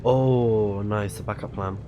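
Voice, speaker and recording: A man's voice: lowish voice, fairly even intonation, takes it slowly, lo-fi sound, noisy background. A man giving casual live let's play commentary on a video game, musing in short reactions. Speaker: game streamer. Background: game music.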